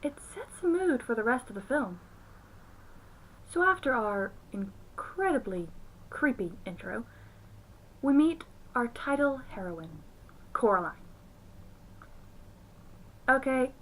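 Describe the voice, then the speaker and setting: A woman's voice: on the high side, a bit bright, low-quality recording. A woman giving a scripted film review commentary. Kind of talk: scripted review commentary with acted intro skit.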